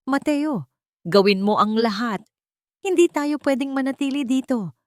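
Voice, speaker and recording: A woman's voice: high-pitched, animated, a little slow, a little mellow, no room sound, pitched above the performer's own voice. Audio drama role: scheming, demanding mistress played by a woman, affected complaints, pushy delivery, very dry recording. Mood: whiny, pushy.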